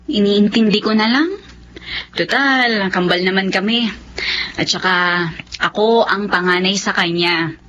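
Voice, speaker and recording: A woman playing a gentle, patient young sweetheart in a radio drama. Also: mid-range voice, unhurried, long pauses, a little hiss or hum, lightly acted. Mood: sweet. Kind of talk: Tagalog radio drama acting. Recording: dull radio audio with music.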